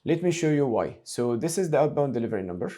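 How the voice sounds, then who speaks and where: A man narrating a screen-share software tutorial as an instructor, explaining each step methodically and repeating key points. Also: medium pitch, sing-song, quick pace.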